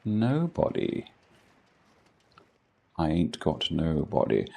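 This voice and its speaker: A man's voice: very deep voice, huge pitch swings, slow, creaky, a little mellow. A middle-aged man with a posh English accent, chatting casually with viewers while playing a game, rambling with dry self-deprecating humour. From England. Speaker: gaming streamer.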